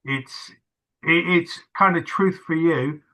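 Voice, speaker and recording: A man's voice: a little high, takes it slowly, bright, forceful tone. A man hosting a casual conversation, hesitant with many fillers and restarts. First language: not English. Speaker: host. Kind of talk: casual video-call chat.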